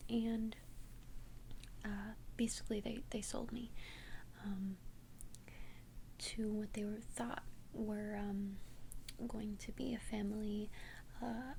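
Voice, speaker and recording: Casting: woman playing immortal young female vampire, tender and hesitant, unhurried whispered ASMR confession, slight hiss. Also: medium pitch, dark tone, low-quality recording. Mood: hesitant, confiding. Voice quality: breathy unvoiced whisper. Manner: hesitant, halting, earnest confession. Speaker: ASMR roleplay performer.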